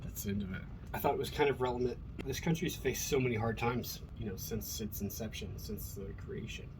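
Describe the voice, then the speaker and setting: A man's voice: medium pitch, fairly quick. A middle-aged man from Colorado with an American accent, talking in a casual, rambling monologue with an earnest, blunt, freely swearing manner. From Colorado, USA. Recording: noticeable background noise.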